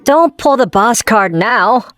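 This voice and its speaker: A woman's voice: on the high side, animated, slow pace, fairly bright tone, soft background music. A woman voicing a young male office worker and ex-boyfriend in a manga dub, introspective and wryly self-deprecating, narrating and talking in character. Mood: firm, concerned.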